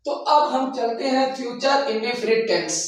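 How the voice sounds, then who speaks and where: A man's voice: extremely high pitch, lively intonation, normal speed. A man teaching grammar step by step in a didactic way, repeating phrases and posing rhetorical questions. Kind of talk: step-by-step classroom lesson. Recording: very echoey room, distant microphone.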